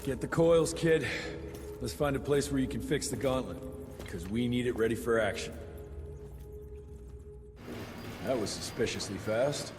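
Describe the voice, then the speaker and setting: An actor playing a gruff, sardonic American monster hunter in a Wild West video game, blunt and dry. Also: male voice, medium pitch, moderate pace, natural voice. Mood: brusque. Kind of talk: video game cutscene acting. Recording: long reverb, loud music underneath.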